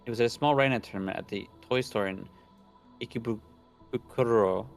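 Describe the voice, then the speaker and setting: A man's voice: mid-range voice, moderate pace, gravelly. A young man casually reading visual novel narration aloud and chatting, stumbling over words now and then. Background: soft background game music.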